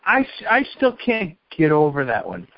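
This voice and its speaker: A man's voice: a little high, huge pitch swings, moderate pace. A man guest in a casual chat, talking loosely with lots of fillers and the odd curse. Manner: chatty, hesitant fillers, swears casually. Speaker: author guest. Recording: dry, dull lo-fi sound.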